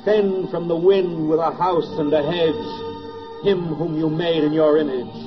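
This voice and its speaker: An actor playing a solemn narrator at prayer in a 1940s radio drama, declaiming in reverent, measured phrases. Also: male voice, fairly high pitch, natural voice. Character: solemn male narrator praying over a war's end. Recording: muffled vintage 1940s radio recording. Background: orchestral score.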